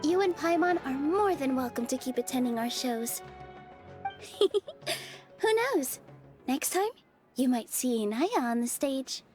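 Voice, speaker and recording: Gentle, high-voiced young female dancer, soft and earnest, with music underneath. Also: animated, measured pace. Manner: soft, sincere and kindly. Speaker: game character. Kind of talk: dubbed game dialogue.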